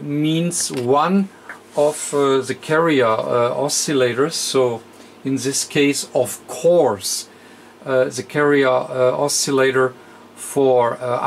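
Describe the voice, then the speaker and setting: A man demonstrating a radio repair at his workbench, talking haltingly with many fillers and counting aloud as he adjusts. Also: mid-range voice, vocal fry, a little hiss or hum. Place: electronics workbench.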